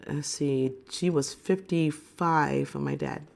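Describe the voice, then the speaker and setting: A woman of about fifty, recovering from a cold, talking to camera in a reflective, unhurried and candid way. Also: low voice, steady tone, vocal fry, darkish tone. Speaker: vlogger. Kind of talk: personal reflective talk to camera.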